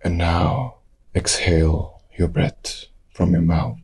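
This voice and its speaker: A man leading a guided meditation, giving calm, measured instructions in short phrases with long pauses. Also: low voice, gravelly, slightly rough.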